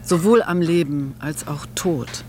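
An actress in a radio play as a reflective adult recalling childhood memories in a measured monologue. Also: female voice, low voice, darkish tone, a little hiss or hum. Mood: solemn.

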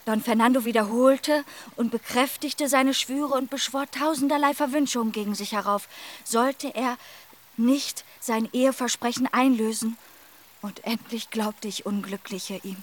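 An actress playing a passionate, eloquent wronged young maiden in a German radio drama. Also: female voice, a little high, a little slow. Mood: sorrowful. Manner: eloquent, formal storytelling.

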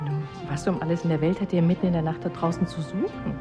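A woman's voice: lowish voice, fairly even intonation, quick pace, natural voice. An actress playing a suspicious island woman in radio drama dialogue, probing and insinuating. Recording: loud music over the voice.

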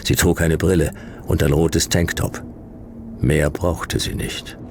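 A man playing a laconic private detective in a sci-fi radio play, narrating in clipped, deadpan with a gravelly voice. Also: rumbling low, slow pace, slight background noise. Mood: dry, laconic. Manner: clipped, dry, deadpan delivery. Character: Jonas, laconic hardboiled male private detective narrating his case.

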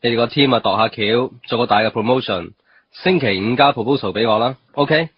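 A man acting a dry, chiding office boss in a radio drama, deadpan, with a Hong Kong Cantonese accent and English words mixed in. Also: medium pitch, fairly quick, husky, no room sound, highs cut off. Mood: businesslike.

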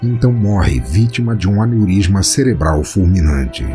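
A man with a Brazilian Portuguese accent narrates a scripted horror story in a measured, solemn, dramatic way. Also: deep voice, expressive, over music. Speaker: podcast narrator.

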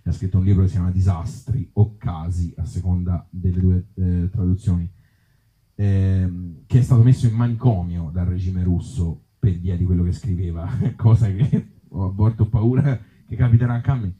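A Tuscan man with a very mellow, low voice speaks live as an author and actor. He is wry and self-mocking, slipping into hesitant asides. The recording is muffled and dull. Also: steady tone, moderate pace. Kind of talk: live reading of absurd comic pieces and casual talk with audience. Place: outdoor festival event.

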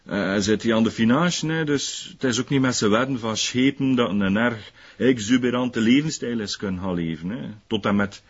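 An actor playing an aggrieved former concierge turned prosperous dealer in a radio drama, rambling in folksy dialect with a West Flemish accent. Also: male voice, mid-range voice, normal speed, husky, lo-fi sound. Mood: knowing, indignant. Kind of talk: naturalistic radio drama dialogue.

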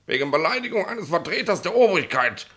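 An actor in a German radio play playing a bossy, nosy, smug middle-aged police inspector who rambles colloquially. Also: male voice, high voice, sing-song, a little fast, fairly bright tone, full character voice. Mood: officious, indignant. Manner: colloquial, rambling, officious, tag questions.

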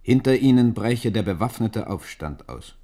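An actor in a German radio play playing a stern, shrewd minister, measured and formal as he counsels his king. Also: fairly low pitch, expressive, dark tone, natural voice. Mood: urgent. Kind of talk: German radio drama acting.

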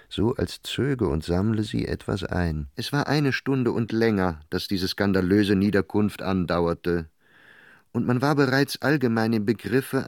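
A man's voice: fairly low pitch, a little mellow, no room sound, studio quality. A man narrating a literary radio drama.

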